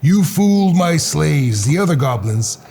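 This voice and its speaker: A man voicing a menacing, boastful king of the goblins for a storybook, slow and threatening, with a gravelly voice. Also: on the high side, very animated, dark tone, soft background music, pitched above the performer's own voice, full character voice.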